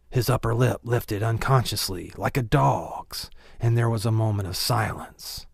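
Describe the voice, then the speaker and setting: A man reads literary fiction as an audiobook narrator. His voice is fairly low with a strong creak, recorded very dry and close. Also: no room sound.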